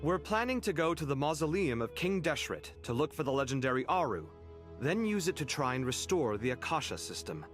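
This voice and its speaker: Curious young adventurer guy in a game, highish lively voice, earnest, faint music. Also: brisk. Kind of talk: scripted video game voice acting.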